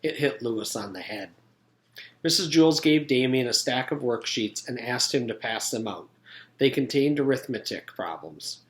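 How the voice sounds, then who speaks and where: A man reads a children's storybook aloud at a middle pitch. The delivery is that of a teacher narrating to a class. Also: lively intonation, moderate pace. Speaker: teacher reading aloud to pupils.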